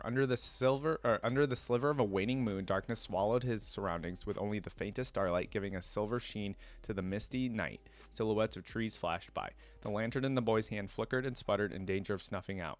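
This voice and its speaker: Low-voiced man reading and reacting casually. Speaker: reaction video host. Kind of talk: reading lore aloud with casual fan commentary.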